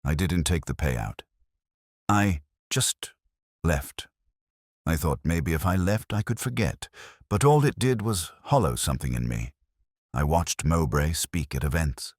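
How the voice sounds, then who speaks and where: Man reading as composed, brittle wronged woman, husky-voiced. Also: very deep voice, sing-song, a little slow, dry recording, studio quality, exaggerated voice. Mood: composed, bitter. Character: wronged female clerk, composed but brittle, confessing on tape.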